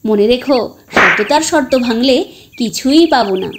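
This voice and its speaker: A woman voicing a brave, clever young village girl in a storybook tale, speaking calmly and steadily. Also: a little high, measured pace, heavy background noise. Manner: calm and steady.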